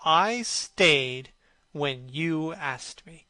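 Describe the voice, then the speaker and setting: A man's voice: a little high, lively intonation, extremely slow, bright, forceful tone, dry recording, heavily acted. A man voicing an earnest painter, devoted to his friend and hurt by him. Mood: bitter.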